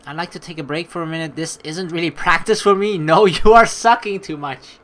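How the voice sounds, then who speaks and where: A let's play host giving rambling, excitable commentary on a story game and reading its text aloud. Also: mid-range voice, brisk. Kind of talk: game playthrough commentary with dramatic read-aloud. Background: game music.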